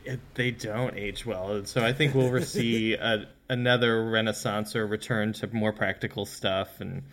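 A man's voice: lowish voice, fairly even intonation, moderate pace, fairly bright tone. A man chatting casually about films as a podcast guest, informal and joking.

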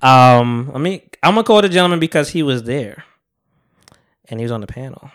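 A man in his thirties hosts a podcast in a relaxed, joking way. His pitch swings a lot as he talks, and his voice comes through smooth and clear. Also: brisk. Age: 30s. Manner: laid-back, joking, laughs often. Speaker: podcast host. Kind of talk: casual podcast chat.

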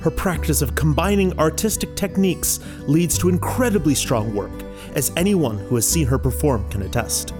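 A man's voice: on the high side, moderate pace. A man giving a tribute that sounds scripted. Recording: guitar music behind the voice. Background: plucked guitar music.

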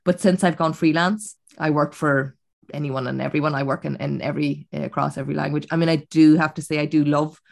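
An Irish woman with a low, gravelly voice talks on a video call. She is chatty and relaxed, often restarting her sentences, as a sound recordist sharing her work. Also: fairly quick. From Ireland. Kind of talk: panel discussion.

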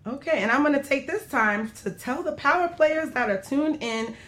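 A woman's voice: sits high, animated, moderate pace, fairly bright tone. A woman hosting a radio show in a casual, chatty way, with a playful, teasing and encouraging manner.

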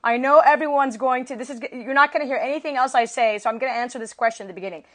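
A woman with an American accent interviewing a guest in a quick, chatty way. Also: high-pitched, fairly bright tone. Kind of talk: casual interview conversation.

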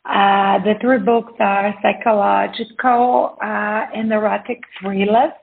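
Slow-talking Brazilian woman with a gravelly voice. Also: medium pitch, level tone, slight background noise. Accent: Brazilian Portuguese. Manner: careful, hesitant with frequent "uh" pauses. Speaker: guest author. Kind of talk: interview conversation. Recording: phone-like narrow audio.

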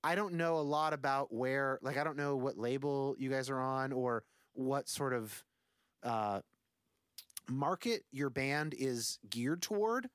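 A man's voice: mid-range voice, a little slow, fairly bright tone, clean tone, no echo, studio quality. A man in his forties, a musician guest on a podcast, talking casually in a rambling, thoughtful way with many fillers. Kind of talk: casual conversation.

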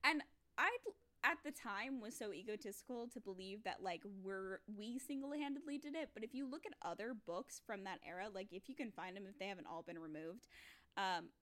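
A woman's voice: medium pitch, fairly quick, fairly bright tone. A young woman co-hosting a podcast, telling a rambling story in casual chat. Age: young adult. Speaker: podcast co-host.

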